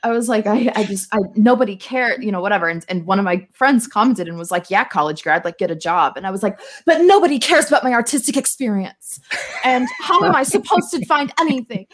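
A young adult woman, a podcast guest, chatting casually and telling stories in an animated, theatrical, self-mocking way. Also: on the high side, a little fast. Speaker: podcast guest, tech marketer. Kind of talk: casual conversational storytelling.

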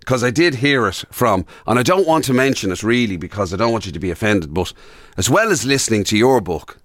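Halting Irish man with lively, swinging pitch. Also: no echo, clean studio sound. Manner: halting, meandering delivery. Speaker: radio show host. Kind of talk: casual bantering chat.

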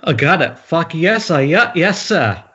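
A man acting as a manic, sweary horror writer with a slightly high voice. It is a table read over a dull internet call with some hiss. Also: big pitch swings, unhurried. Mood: manic, scattered. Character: manic, foul-mouthed, coke-fuelled male horror writer. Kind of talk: amateur table read of a screenplay.

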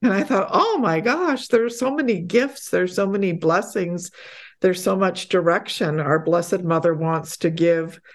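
A middle-aged woman from Poland, a podcast guest chatting casually, laughing often and getting animated when excited. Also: lowish voice, measured pace. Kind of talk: casual interview chat.

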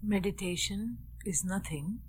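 A woman with a medium-pitched voice guides a meditation. She gives deliberate instructions in short, measured phrases, leaving long pauses between them, as a teacher would. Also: husky. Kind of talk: guided meditation with opening Sanskrit chant.

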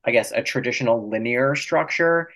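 A man's voice: mid-range voice, moderate pace, fairly bright tone. A man speaking as an author guest in an interview, explaining things reflectively and choosing his words carefully.

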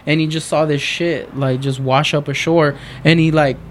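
A man's voice: on the high side, fairly even intonation, moderate pace, a little hiss or hum. A man who rambles through a casual podcast chat as a guest, swearing, with many fillers. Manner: rambling, profane, lots of fillers.

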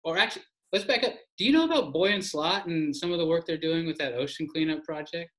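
A man's voice: a little high, fast talker, a bit bright. A man hosting an interview podcast, chatty and enthusiastic, with many fillers and restarts. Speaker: podcast host. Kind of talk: interview podcast intro and chat.

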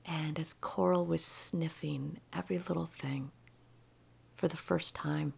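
A woman gently telling a personal story about healing a rescued dog, her voice soft and often dropping to a near whisper. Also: sits low, fairly even intonation, creaky, slightly rough. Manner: gentle and slow-paced. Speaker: animal healer.

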